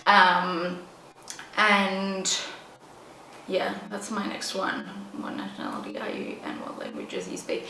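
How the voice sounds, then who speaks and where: A woman's voice: medium pitch, little pitch movement, slow, creaky, sharp tone, slight background noise. A woman in her twenties from Estonia, chatting loosely in a Q&A vlog, full of ums and likes. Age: 20s. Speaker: vlogger. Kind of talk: casual Q&A to camera. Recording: echoey room, mic at a distance.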